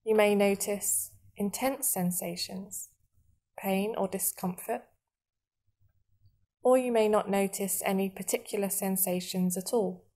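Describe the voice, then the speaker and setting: A woman guiding a meditation in a soothing, measured manner, leaving long pauses between short phrases. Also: mid-range voice, mellow. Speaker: meditation guide. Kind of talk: guided body scan meditation narration.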